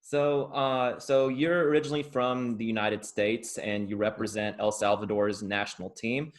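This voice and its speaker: A man's voice: on the low side, little pitch movement, moderate pace. A man hosting a soccer podcast, introducing his guest and asking questions over a video call, hesitating and filling gaps with uh. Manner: hesitant, many uh fillers and restarts. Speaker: podcast host. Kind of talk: casual podcast interview.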